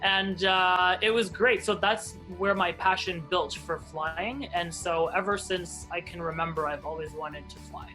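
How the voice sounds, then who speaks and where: A man's voice: sits high, measured pace, few pauses, sharp tone, soft background music, poor audio. A Hebrew-man, born in Israel, telling his story as an airline captain guest in a casual interview, chatty with many restarts.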